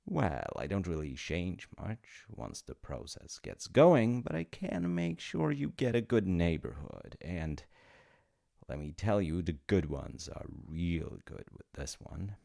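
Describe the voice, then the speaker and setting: Man playing a gravelly, animated, chummy afterlife agent. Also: low voice, slow pace, full character voice. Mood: upbeat pitching. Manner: informal salesman-like patter with hesitations and chuckles. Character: chummy, rule-bending afterlife reincarnation agent, male supernatural being. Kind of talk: second-person comedic audio roleplay.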